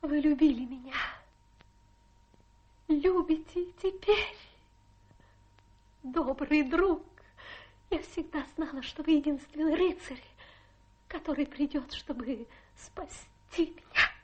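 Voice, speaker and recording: Self-pitying adventuress, radio drama. Very high, unhurried delivery, plaintive, flirtatious, stagey tragic airs. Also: female voice. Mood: tender, grateful. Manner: melodramatic, plaintive, coquettish. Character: theatrical, self-pitying adventuress feigning a tragic fallen lady. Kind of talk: theatrical radio play acting.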